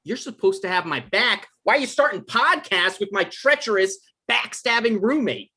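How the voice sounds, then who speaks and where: Man playing whiny, argumentative male novelist, husky edge, quick to anger, table-read drama. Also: high voice, lively intonation, pushed and bright, full character voice. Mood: indignant. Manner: argumentative, exasperated. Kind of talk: live table read of a screenplay.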